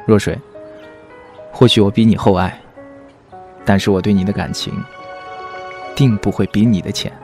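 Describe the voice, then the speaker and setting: Man acting a low-voiced devoted young lover. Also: steady tone, moderate pace, long pauses, darkish tone, soft background music, lightly acted. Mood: tender. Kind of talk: amateur audio drama acting.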